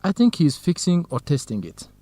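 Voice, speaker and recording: An actor with an Ethiopian accent playing an organized, courteous, gently grumbling male researcher in an educational radio drama, speaking in a measured, explanatory way. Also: a little high, huge pitch swings, dry recording. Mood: informative, earnest.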